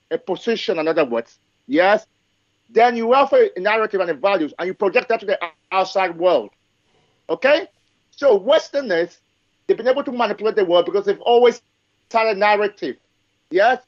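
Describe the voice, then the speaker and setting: A man's voice: sits high, no room sound, highs cut off. Emphatic man speaking with an accent. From Africa. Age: 40s. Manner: emphatic, repetitive, rhetorical checks like okay and yes. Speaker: guest speaker. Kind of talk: live discussion.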